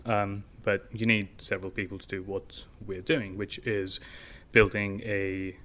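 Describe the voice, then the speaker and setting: A man's voice: fairly low pitch, flat delivery, measured pace, fairly bright tone, slight background noise. A young man, a startup founder, answering in a casual interview with long explanations full of fillers and restarts.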